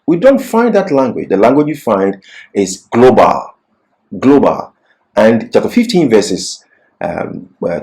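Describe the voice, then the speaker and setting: A man's voice: mid-range voice, darkish tone, rough recording. A man giving an expository Bible lecture as a teacher, didactic and emphatic, posing questions and answering them himself.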